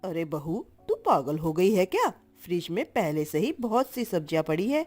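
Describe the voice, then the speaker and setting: A woman's voice: lowish voice, sing-song, moderate pace, darkish tone, faint music behind, voice pushed down. A woman voicing a grumpy, middle-aged mother-in-law in a cartoon story, scolding and exasperated.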